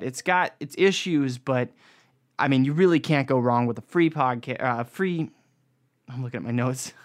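A young American man talks as a solo podcast host, with a voice a little on the high side. He rambles informally through a casual review and readily pokes fun at himself. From United States. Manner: rambling, self-deprecating, informal.

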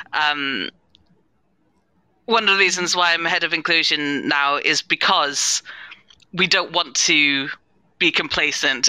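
Female panelist, very bright crisp tone, thoughtful and earnest, close dry sound. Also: deep voice, no room sound, rough recording. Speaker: panelist, head of inclusion at an audio drama company. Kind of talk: live panel discussion.

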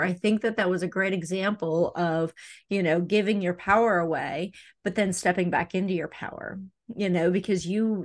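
A woman hosting an interview podcast, talking in a chatty way with many "you know" fillers. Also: lowish voice. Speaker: podcast host. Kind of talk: conversational interview.

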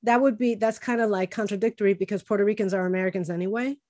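Woman podcast host from Chicago, mid pitch, friendly and chatty, dry close mic. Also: steady tone, racing, darkish tone, no echo. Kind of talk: casual interview chat.

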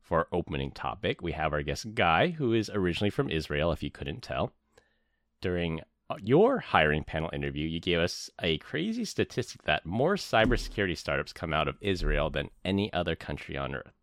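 A man hosting a podcast, reading the show intro in a measured, upbeat tone. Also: sits low, very animated, a bit bright, no echo, clean studio sound. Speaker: podcast host. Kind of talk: casual chat and scripted show intro.

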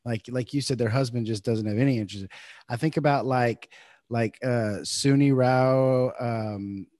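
A man hosting a podcast, talking casually in a halting way with many restarts. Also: medium pitch, darkish tone, no echo, clean studio sound. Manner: halting, many repeated starts. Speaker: podcast host. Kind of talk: casual interview conversation.

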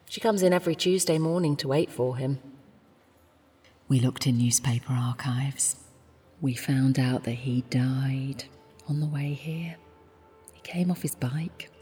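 An actor playing a librarian in an audio drama, quietly telling a story in a husky, breathy voice. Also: male voice, mid-range voice. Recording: long reverberant hall, music behind. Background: music.

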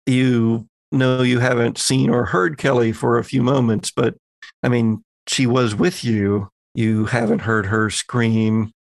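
A man's voice: medium pitch, level tone, slow pace, creaky, dry recording. A man, narrating a tabletop horror roleplaying game as game master.

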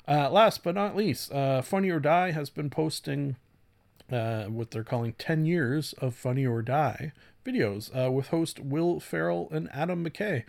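A man hosting a solo podcast in a casual, rambling way, halting often, swearing lightly and putting himself down. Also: fairly high pitch, gravelly, darkish tone. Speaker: podcast host.

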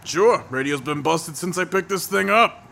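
A man's voice: a little high, lively intonation, moderate pace, creaky, sharp tone, a little hiss or hum, exaggerated voice. An actor playing a friendly, plain-spoken working man in an audio drama, folksy, casual and curious.

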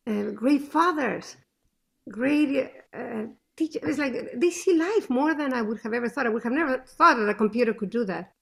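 Gravelly-voiced woman with a thick accent. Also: a little high, normal speed. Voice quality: speaks with a thick non-native accent. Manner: animated, emphatic storytelling. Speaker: interview guest, mother. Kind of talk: conversational interview.

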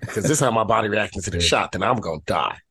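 A man co-hosting a podcast, hoarse from the flu, rambling and swearing as he tells stories. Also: on the low side, animated, racing, fairly bright tone, dry recording, studio quality. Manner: rambling storyteller, profane. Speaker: podcast co-host. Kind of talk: casual podcast banter.

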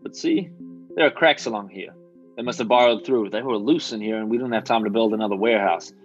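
An actor playing an exasperated, middle-aged veteran quartermaster from farm country in an American radio drama, folksy and plain-spoken. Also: male voice, lowish voice, fast, soft background music, lightly acted. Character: exasperated veteran Kansas grain-elevator man turned quartermaster. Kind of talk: golden age American radio drama acting. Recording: dull lo-fi radio recording.

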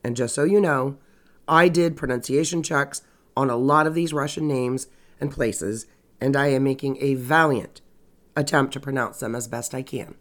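Stuffy, scratchy-voiced woman podcast host. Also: rumbling low. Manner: wry, sarcastic asides. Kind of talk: casual true crime narration.

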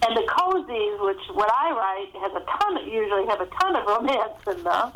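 A woman's voice: a little high, expressive, moderate pace, fairly bright tone, a little hiss or hum, poor audio. A middle-aged woman talking conversationally as an author in an interview, chatty and anecdotal, often saying "you know".

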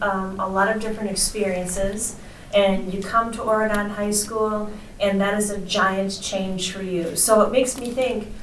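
A woman's voice: medium pitch, far from the mic. Woman, interview host, school superintendent, roomy sound, faint noise. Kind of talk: podcast interview hosting.

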